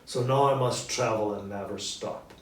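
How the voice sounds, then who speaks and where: A man's voice: lowish voice, close to an everyday voice. A man voicing the anguished, regretful ghost of a dead businessman in a storybook reading, with solemn, emphatic delivery. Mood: weary, restless. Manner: slow, solemn, emphatic declamation. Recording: roomy echo, distant mic, background noise.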